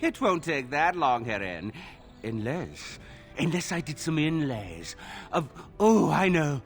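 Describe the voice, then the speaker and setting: A proud craftsman in a fantasy video game. His voice is fairly high for a man and swings in pitch as he muses in a courtly, self-absorbed way over his work, with faint music behind. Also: sing-song, takes it slowly, exaggerated voice. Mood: musing. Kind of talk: video game character dialogue.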